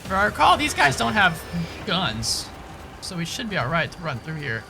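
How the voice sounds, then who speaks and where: A man giving casual live gameplay commentary and chatting informally with viewers, halting and repeating himself at times. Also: sits high, wildly swooping pitch, creaky, noisy background. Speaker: game streamer.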